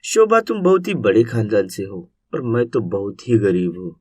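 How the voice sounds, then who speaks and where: A man voicing a humble, kind, earnest young rickshaw driver in an animated moral story. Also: on the low side, moderate pace, breathy edge, voice pushed down. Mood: humble, sad. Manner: earnest, considerate.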